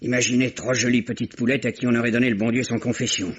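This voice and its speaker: Man voicing a surly foul-mouthed cabbie in his 30s, husky, muffled old radio recording. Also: mid-range voice, flat delivery, quick pace, close to an everyday voice. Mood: bitter. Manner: surly, crude, slangy. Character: bitter, slangy male taxi driver in his thirties. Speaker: taxi driver. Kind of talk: 1950s French radio drama acting.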